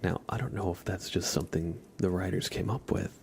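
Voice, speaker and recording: Low, creaky, soft-spoken ASMR man. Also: fairly quick, slight background noise. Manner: soft-spoken, often dropping into a whisper. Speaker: livestream host. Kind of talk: ASMR, casual rambling chat with live viewers.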